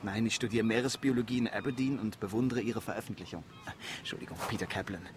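A man's voice: on the low side, quick pace, noisy background, lightly acted. A man playing an earnest, eager young marine biology student in a radio drama, polite and matter-of-fact. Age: young adult.

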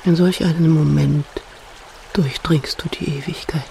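An older actress playing a frail, wistful grandmother who remembers her past slowly and reflectively in a radio drama. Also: sits low, fairly even intonation, gravelly, warm tone, a little hiss or hum. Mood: hushed, rapt. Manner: slow, halting, reflective storytelling. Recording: music behind the voice.

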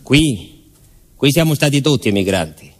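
A man from southern Italy narrating a personal theatre monologue in deliberate short phrases with emphatic repetition. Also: medium pitch, expressive. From Salento, southern Italy. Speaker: stage narrator and actor.

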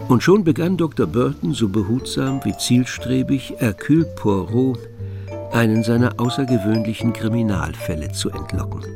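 A man narrating a scripted radio mystery in a measured, literary storytelling manner. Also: mid-range voice, animated, gravelly, loud music behind the voice.

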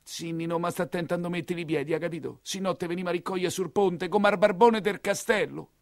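A man's voice: sits high, fairly even intonation, fast, no echo, studio quality, voice pushed up. An actor voicing an anxious, nagging Roman mother who frets over and warns her son in a stage monologue. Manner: nagging, admonishing. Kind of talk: theatrical monologue character.

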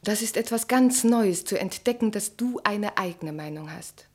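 An actress playing a bitter, mocking estranged wife in a German literary radio play, with an articulate, cutting, theatrical delivery. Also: female voice, mid-range voice, expressive, normal speed, darkish tone, natural voice. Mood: cool mockery.

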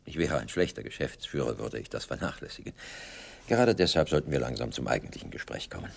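An actor playing a smug, menacing company director in a German radio drama, polished, sardonic and theatrically courteous. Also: male voice, a bass voice, lively intonation, fast talker, creaky, a little hiss or hum, heavily acted. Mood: boastful.

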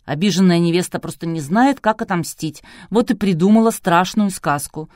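A woman's voice: on the low side, normal speed, darkish tone, dry recording, natural voice. A domineering man who runs a TV show, played by a woman narrator in a storybook reading. He is brusque and demanding, barking his words with force in a very dry recording. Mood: indignant, dismissive. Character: brusque, domineering male TV producer, angry and commanding.

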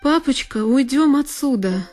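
A woman's voice: sits high, expressive, unhurried, a little mellow, soft background music, voice pushed up. A woman voicing a frightened little girl who pleads and cries out to her father in a dramatic literary audio play. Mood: urgent fear. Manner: pleading, crying out. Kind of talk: dramatic literary monodrama acting.

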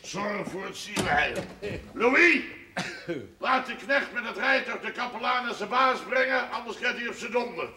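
An actor playing a boastful, middle-aged rich village farmer in a television period drama, hearty, blunt and earthy. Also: male voice, very high voice, lively intonation, normal speed, pushed and bright, heavily acted. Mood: bossy. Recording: old TV soundtrack with background noise.

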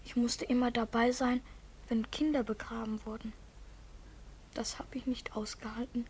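A woman playing a frail, timid, hungry orphan boy, breathy and plaintive, in a 1950s German radio drama. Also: on the high side, level tone, normal speed, a little mellow, lightly acted. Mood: weary, sad. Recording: noisy 1950s radio recording.

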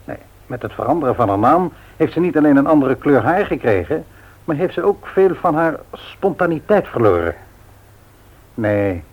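A man's voice: a little high, big pitch swings, moderate pace. An actor playing a grumbling, teasing old husband and grandfather in a radio play, muttering and repeating himself. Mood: disapproving. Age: older. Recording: dull old radio recording.

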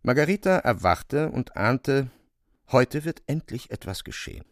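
Ein Schauspieler spricht in einem Hörspiel den leidenschaftlich beteiligten Autor-Erzähler, theatralisch, rhetorisch und mit dramatischen Pausen. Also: male voice, medium pitch, very animated, no echo, clean studio sound. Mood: impassioned, rueful. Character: male author-narrator, passionately involved storyteller. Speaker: narrator. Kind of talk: literary radio drama narration.

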